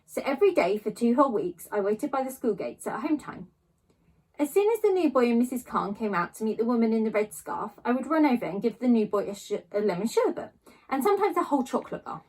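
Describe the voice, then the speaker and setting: A woman reading a children's novel aloud, narrating in a child's first person with expressive storytelling emphasis. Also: a little high, quick pace, rough recording. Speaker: storybook reader.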